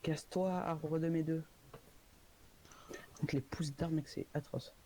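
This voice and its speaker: Young man, gamer, dark mellow voice, excited exclamations, faint hiss. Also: on the high side, low-quality recording. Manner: excited, quick exclamations while playing. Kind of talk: casual gaming chat.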